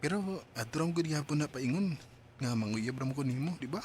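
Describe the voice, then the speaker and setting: An actor playing an eager, bashful young suitor in a radio drama, wooing hesitantly with a Cebuano Filipino accent. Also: male voice, medium pitch, a little fast, darkish tone, slight background noise, natural voice.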